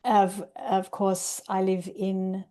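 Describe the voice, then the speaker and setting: Australian woman presenting a webinar, mellow voice, measured, explains step by step over a video call. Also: medium pitch, little pitch movement. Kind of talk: online presentation.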